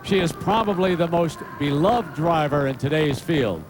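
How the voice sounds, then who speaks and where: A man narrating a pre-race broadcast feature in a measured way. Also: fairly high pitch, lively intonation. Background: music.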